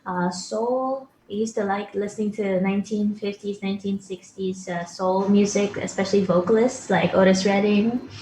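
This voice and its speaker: A woman in her twenties from Brunei, a singer answering interview questions over a video call, polite and soft-spoken. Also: mid-range voice, fairly even intonation, normal speed, hardly any pauses, echoey room, slight background noise, poor audio. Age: 20s. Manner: polite, soft-spoken, addresses host as sir. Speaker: guest singer. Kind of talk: remote interview, casual.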